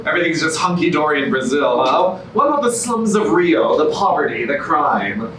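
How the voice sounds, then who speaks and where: A man's voice: a little high, sing-song, normal speed. An actor playing a cynical, suspicious, needling man in a staged musical comedy. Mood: sarcastic, suspicious. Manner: sarcastic, needling. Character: cynical, suspicious, grouchy male reality show contestant. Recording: echoey, noisy stage from far off.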